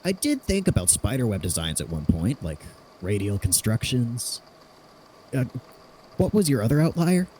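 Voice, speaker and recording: Man acting very animated, curious theorist. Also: medium pitch, normal speed, slight background noise, lightly acted. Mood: curious, analytical. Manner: animated, inquisitive, conversational. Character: curious, analytical male theorist, casually inquisitive. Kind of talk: audio drama dialogue.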